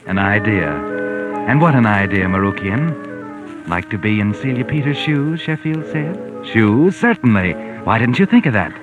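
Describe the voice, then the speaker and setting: An actor playing a sardonic, all-knowing narrator of a 1940s radio mystery, mocking and ominous, with theatrical drawn-out phrasing. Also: male voice, on the low side, animated. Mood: sly, mocking. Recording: dull vintage radio recording, music behind. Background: music.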